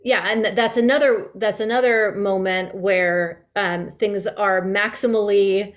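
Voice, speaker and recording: Halting, emphatic woman in casual conversation. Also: medium pitch, steady tone. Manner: halting, emphatic, repeats words as she thinks. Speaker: director and audio drama maker. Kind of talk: casual interview chat.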